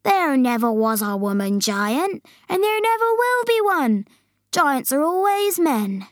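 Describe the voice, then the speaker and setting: A woman's voice: sits high, very animated, unhurried, no echo, studio quality, pitched above the performer's own voice. A woman voicing a very old, forlorn but friendly male giant in a storybook, drawing out mangled words with great emphasis. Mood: emphatic, indignant.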